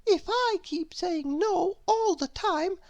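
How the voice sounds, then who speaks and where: A woman's voice: very high voice, slow pace, a little mellow, highs cut off, voice pushed up, full character voice. A woman voicing a sensible, anxious female storybook character, prim and earnest. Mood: unhappy, worried.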